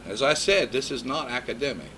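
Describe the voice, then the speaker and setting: A man's voice: mid-range voice, expressive, fairly quick, sharp tone, slight background noise. A man in his fifties preaching a sermon in a conversational way, mixing scripture with personal stories. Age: 50s.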